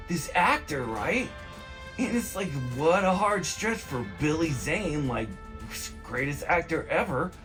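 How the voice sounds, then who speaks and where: A man with a fairly high voice talks over music in a casual, comic ramble. His pitch swings widely as he meanders through a movie recap, excitable and full of slang and swearing.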